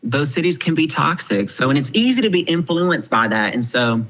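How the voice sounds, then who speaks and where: A man in his twenties, a podcast guest talking casually, frank and animated, swearing freely. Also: on the high side, normal speed. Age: 20s.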